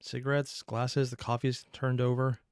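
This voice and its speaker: A man's voice: medium pitch, little pitch movement, moderate pace, a little mellow, smooth, dry recording. A man hosting a reaction video, giving casual running commentary in a musing, questioning, wry way.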